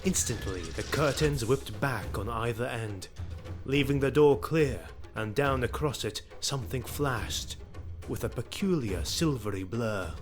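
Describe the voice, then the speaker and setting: A man narrating an audio drama in a scripted, measured and dramatic storytelling style. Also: medium pitch, expressive. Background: music.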